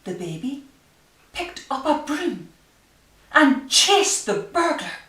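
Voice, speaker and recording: A woman reading a children's picture book aloud expressively, with dramatic emphasis and drawn-out key phrases. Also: medium pitch, fairly bright tone. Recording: roomy echo, distant microphone.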